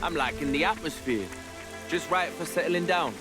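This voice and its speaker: A man's voice: on the high side, moderate pace, over loud music, close to an everyday voice. A man with a British accent playing a breezy, easygoing young adventurer in a video game. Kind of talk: video game cutscene acting.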